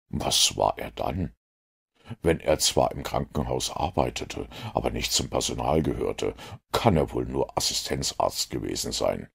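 A man voicing a self-assured, analytical detective in an audiobook, with a gravelly, husky voice and a measured, lecturing manner. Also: extremely low pitch, heavily acted. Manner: measured, didactic, superior. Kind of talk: audiobook character reading.

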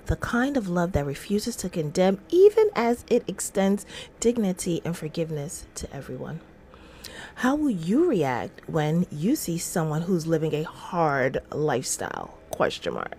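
A woman's voice: fairly low pitch, darkish tone, slight background noise. A middle-aged woman hosting a casual devotional livestream, reading aloud and adding informal, chatty asides. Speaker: livestream host. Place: small indoor room at home.

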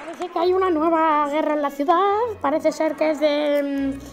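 A child of about ten playing an excited battle commentator in a homemade cartoon, repeating phrases. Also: high-pitched, normal speed, background noise. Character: excited live commentator on a giant monster battle. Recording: music and chatter behind voice.

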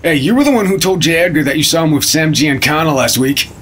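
A man's voice: a little high, expressive, fast talker, a bit bright, breathy edge. An actor playing a wry, grumbling 1950s federal agent teasing his partner in a radio drama. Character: wisecracking, grumbling senior FBI partner, 1950s.

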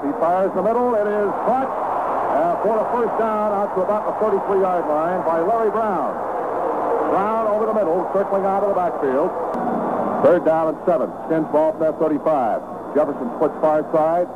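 High-voiced male football announcer, tinny noisy radio. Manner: clipped, vivid present-tense calls, partisan for the home team. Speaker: play-by-play radio sportscaster. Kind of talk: live football radio commentary.

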